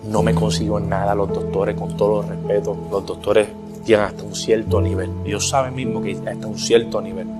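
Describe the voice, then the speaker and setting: Puerto rican guy telling his faith testimony, earnest, music playing clearly behind him. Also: lowish voice, little pitch movement. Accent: Puerto Rican Spanish. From Puerto Rico. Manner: earnest, quotes scripture, slows for emphasis. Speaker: testimony speaker.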